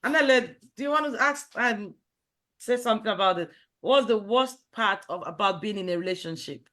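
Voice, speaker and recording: A woman hosting a casual online discussion, briskly prompting guests. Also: medium pitch, animated, a bit bright. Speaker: host. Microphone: video call.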